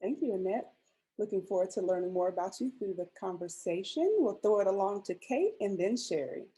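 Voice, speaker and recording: Soft, mellow woman moderator. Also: mid-range voice, animated, brisk, rough recording. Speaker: panel moderator. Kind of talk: moderating an online panel.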